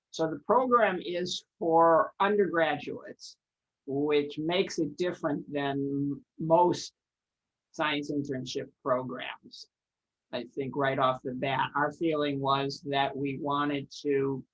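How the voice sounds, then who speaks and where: A man who runs an internship program, explaining it to a panel in a measured way. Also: fairly high pitch, fairly bright tone, lo-fi sound. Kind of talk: online panel discussion.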